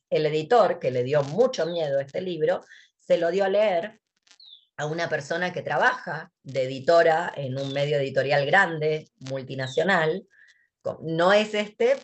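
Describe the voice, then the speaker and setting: An Argentine woman speaking casually as a guest, blunt, sarcastic and emphatic, over a headset on a video call from a dim room. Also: fairly low pitch. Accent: Argentine Spanish. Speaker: guest, writer and thinker.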